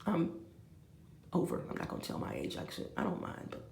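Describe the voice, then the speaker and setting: Woman in her 50s, vlogger with a gravelly voice, echoey room, rambling and chatty. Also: low voice, huge pitch swings, brisk, a little mellow, a little hiss or hum. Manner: rambling, talks straight to viewers with asides. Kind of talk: rambling confessional vlog. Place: room with a bed, indoors.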